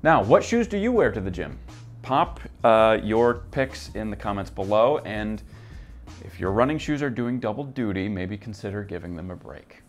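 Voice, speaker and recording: A man in his thirties reads a product news roundup in a brisk, upbeat presenter manner. His voice sits on the low side. A soft music bed plays faintly underneath. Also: lively intonation. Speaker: gear news host. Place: office with shelves, seated at a desk.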